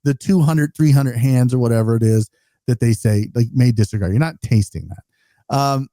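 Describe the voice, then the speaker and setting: A man's voice: medium pitch, normal speed, mellow, no echo, clean studio sound. A man co-hosting a podcast, asking questions and thinking aloud with some hesitation. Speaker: podcast co-host. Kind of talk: casual podcast conversation.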